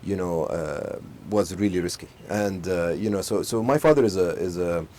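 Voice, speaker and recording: A man's voice: deep voice, slight background noise. A middle-aged man from Saudi Arabia, a company chief, telling his story in a conversational interview with many fillers and restarts. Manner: fast, animated storytelling with frequent fillers and restarts. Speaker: company CEO, interview guest.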